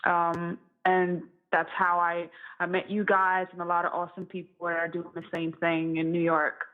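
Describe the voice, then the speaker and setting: Thin, phone-like audio carries a woman from Estonia with a slightly low voice. She is in her thirties and chats casually as a guest in an interview. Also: completely flat delivery, moderate pace. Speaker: interview guest, music producer and composer.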